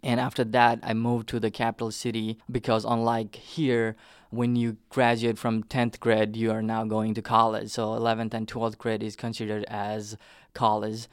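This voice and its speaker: A man's voice: fairly low pitch, completely flat delivery, moderate pace, clean tone, no room sound, studio quality. A man from Nepal with a Nepali accent, explaining their life story in a conversational way. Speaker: interviewee telling their story.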